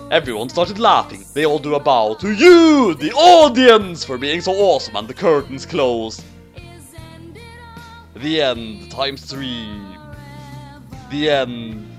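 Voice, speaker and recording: Mock-dramatic man with wildly swinging pitch. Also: sing-song, takes it slowly, faint music behind. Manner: mock-dramatic, exaggerated emphasis. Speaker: reader of fan fiction. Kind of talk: theatrical comic narration.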